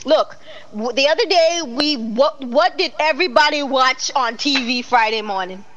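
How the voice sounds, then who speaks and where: A middle-aged woman hosting a casual online talk show, animated and exclaiming, laughing often. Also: sits high, moderate pace, muffled recording. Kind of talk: casual live-stream chat.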